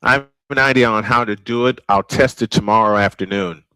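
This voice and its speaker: A man's voice: fairly low pitch, brisk, bright, forceful tone, clear, resonant tone, no room sound, low-quality recording. Man, old-school play-by-play caller, folksy, dry close studio mic. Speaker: play-by-play announcer. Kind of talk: live baseball play-by-play.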